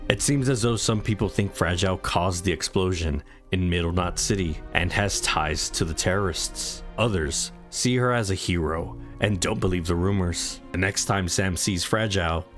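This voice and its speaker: A man's voice: low-pitched, normal speed, few pauses, a bit bright, faint music behind. A man reading scripted story recap narration.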